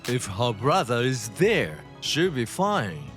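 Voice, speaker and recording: A woman voicing a stern, scornful old grandfather with a gruff, judgmental manner in a manga dub. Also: a bass voice, big pitch swings, slow pace, faint music behind, full character voice.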